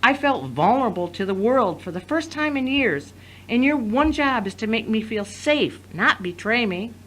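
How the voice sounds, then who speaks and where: A woman's voice: a little high, sing-song, normal speed, a bit bright, a little hiss or hum. A woman playing a betrayed, resolute wife confronting her husband in an audio drama. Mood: hurt, reproachful. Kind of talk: scripted audio drama acting.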